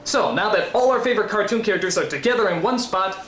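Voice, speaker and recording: A man's voice: sits high, quick pace, fairly bright tone. An American man hosting a scripted comedy review, theatrically incredulous and given to exasperated ranting. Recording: roomy echo, faint background noise.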